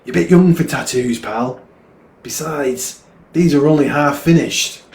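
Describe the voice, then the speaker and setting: A man with a northern English accent voicing a gentle, reassuring father in a storybook reading, tender and encouraging. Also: a little high, measured pace, voice pushed up. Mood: gently teasing. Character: gentle, reassuring dad comforting his son. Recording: roomy echo, clear background noise.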